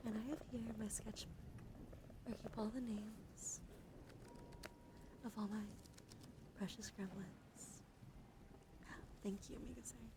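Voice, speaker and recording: A woman streams ASMR chat in a soft, mid-pitched voice with plenty of vocal fry. She often slips into a whisper and rambles along in an easygoing, apologetic manner. Clear background noise sits behind her voice. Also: fairly even intonation, takes it slowly, pauses often. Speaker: livestream host. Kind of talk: ASMR livestream, casual chat.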